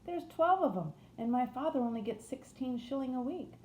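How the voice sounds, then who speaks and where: A woman's voice: sits high, lively intonation, normal speed, darkish tone, voice pushed up. A woman voicing a blunt, kindly young housemaid with a Yorkshire accent in a storybook reading. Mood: scolding. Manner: plain-spoken, dialect thee and thou. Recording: roomy echo, faint noise.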